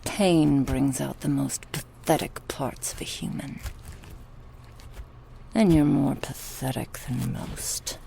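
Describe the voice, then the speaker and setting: A woman playing a sadistic, gleefully cruel goddess in an ASMR horror roleplay, taunting theatrically and slipping into breathy whispers. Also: extremely low pitch, slow pace, mellow, a little hiss or hum, heavily acted. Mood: contemptuous teasing.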